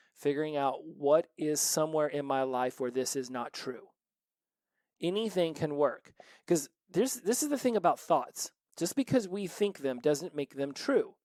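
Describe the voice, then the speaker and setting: A man's voice: on the high side, darkish tone, no room sound. A man in his forties hosting a recovery podcast, giving an earnest solo motivational talk full of rhetorical questions. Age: 40s.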